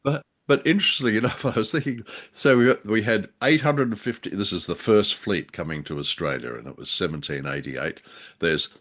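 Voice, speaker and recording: Older male co-host, low voice, casual and jokey, rambling unscripted delivery. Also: brisk, a bit bright. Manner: rambling, jokey, often repeats words for emphasis. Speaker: podcast co-host.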